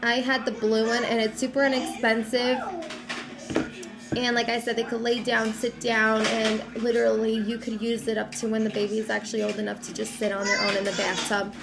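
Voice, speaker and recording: A young woman vlogging casually about baby gear, a little raspy from a cold, talking in a loose, chatty way with lots of fillers. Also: a little high, monotone, brisk. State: has a cold, slightly raspy. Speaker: mom vlogger. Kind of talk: casual chat to camera.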